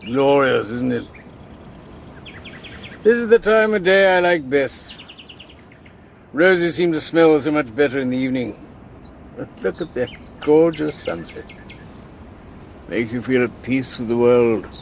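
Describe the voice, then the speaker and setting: Gravelly, sceptical older colonel. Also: male voice, mid-range voice, animated, a little slow, pauses often, a little hiss or hum. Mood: wistful, gentle. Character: courteous, sceptical older male colonel turned crook. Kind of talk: radio drama acting.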